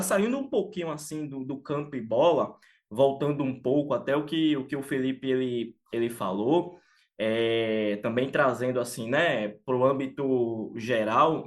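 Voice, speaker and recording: A man from Salvador, co-hosting a casual sports podcast and talking with many fillers and restarts. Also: medium pitch. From Salvador, Bahia, Brazil. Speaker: podcast co-host.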